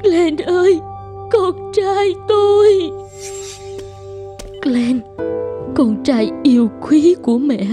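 A woman playing a devoted, distraught mother in a cartoon, pleading in drawn-out phrases. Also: very high voice, over music, full character voice. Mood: grieving.

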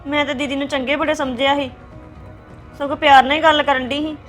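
A woman's voice: high-pitched, level tone, fairly quick, soft background music, lightly acted. An actress playing a young wife who complains tearfully that she is blamed for nothing. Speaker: young wife in a family quarrel. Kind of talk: family drama acting.

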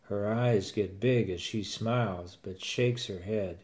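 A man's voice: on the low side, takes it slowly, a little mellow. Older man telling a scripted story. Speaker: grandfather narrator. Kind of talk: scripted first-person storytelling with acted dialogue.

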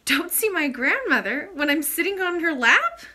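A woman's voice: high voice, big pitch swings, moderate pace, pushed and bright, pitched above the performer's own voice, exaggerated voice. A woman voicing an emotional, earnest young princess in a storybook, pleading and exclaiming indignantly. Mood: insistent, bewildered.

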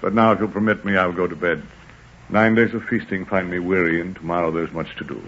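Casting: formal Roman dignitary, low voice, old radio drama, dull recording. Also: male voice, fairly even intonation, fairly quick, a bit bright, slight background noise. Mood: courtly. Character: smooth-tongued, dignified Roman official and royal guest. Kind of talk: 1940s radio drama acting.

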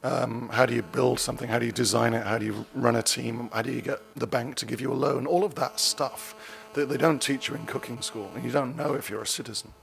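Gravelly man giving a conference keynote. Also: medium pitch, fairly even intonation, a little fast, fairly bright tone. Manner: conversational, self-correcting, dryly wry asides. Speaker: keynote speaker. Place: conference stage. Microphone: lectern mic.

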